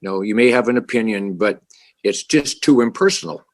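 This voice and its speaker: A man on a city council who speaks loudly and haltingly, often restarting his sentences, calling in to the meeting remotely. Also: lowish voice. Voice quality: loud voice. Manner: halting, many repeated starts, earnest. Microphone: remote video call.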